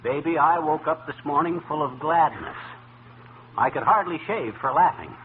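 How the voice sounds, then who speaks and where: Man acting blustery middle-aged husband, indignant folksy griping, raised pitch, old-time radio comedy, narrow tinny sound. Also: expressive, moderate pace, close to an everyday voice. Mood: sarcastic outrage. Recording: noisy, thin old-time radio recording.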